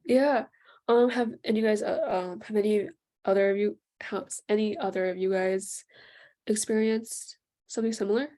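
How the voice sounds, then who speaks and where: A woman's voice: mid-range voice, steady tone, normal speed, mellow. A teenage young woman moderating an informal discussion in a casual way, with many fillers. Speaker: forum moderator.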